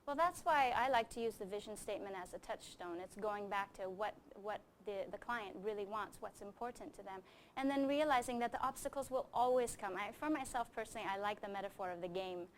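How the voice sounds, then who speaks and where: A woman's voice: medium pitch, a little fast, a little hiss or hum. A middle-aged woman who grew up in Japan, speaking as a coach and interview guest in an earnest, emphatic, explanatory way. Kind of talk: conversational interview answers with anecdotes.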